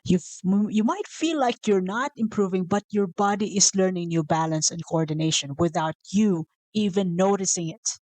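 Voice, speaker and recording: A woman's voice: medium pitch, moderate pace, hardly any pauses, darkish tone, dry recording, rough recording. A woman from the Philippines with a Filipino accent, whose first language is Bisaya, hosting a motivational podcast in an earnest way, repeating herself and correcting herself as she goes. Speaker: podcast host. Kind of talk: motivational talk.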